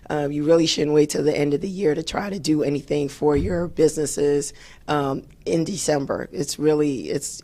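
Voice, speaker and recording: Raspy hoarse lady hosting her show, gravelly and chatty, lots of ums. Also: low voice. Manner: upbeat, chatty, with many fillers. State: hoarse after a late night. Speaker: radio show host. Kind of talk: solo talk show monologue.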